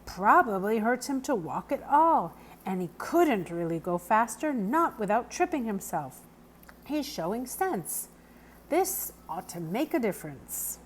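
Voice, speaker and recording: A woman performs a gruff, kindly old countryman from a children's storybook. His talk is plain and rustic, pitched high and swinging widely in melody. Faint noise sits behind the voice. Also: very animated, measured pace. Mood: matter-of-fact. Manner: plainspoken, rustic turns of phrase. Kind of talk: children's novel read aloud, character voice.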